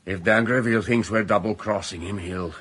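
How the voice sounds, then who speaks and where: A fearful henchman for radio, low and husky. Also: a little fast, a bit bright. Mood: grim. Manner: grave and cautious. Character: wary, grim male accomplice. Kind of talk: radio drama acting.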